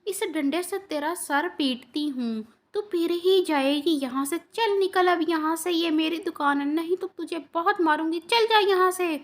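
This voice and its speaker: A woman's voice: very high-pitched, unhurried, hardly any pauses. A woman voicing a greedy, scheming female bird in a cartoon story who talks rudely and scolds. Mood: scolding. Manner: scolding, rude.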